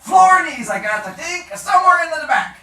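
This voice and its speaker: A reader voicing a gruff older male bookshop owner with a thick accent, shouting and haggling over the phone. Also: very high voice, very animated, racing, echoey room, a little hiss or hum, voice pushed up.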